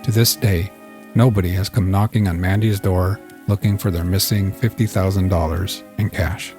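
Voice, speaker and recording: A man narrating a scripted story as a fiction podcast host, with a measured storytelling delivery. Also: low voice, fairly even intonation, warm tone, faint music behind.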